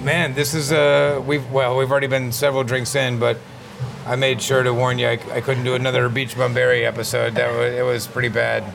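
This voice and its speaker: A man's voice: medium pitch, brisk, gravelly, music in the background. A man hosting a podcast, chatting loosely and laughing easily while recording in a bar. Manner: relaxed, rambling, laughs easily. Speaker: podcast host. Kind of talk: casual bar-side chat. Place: busy bar. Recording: noisy bar background.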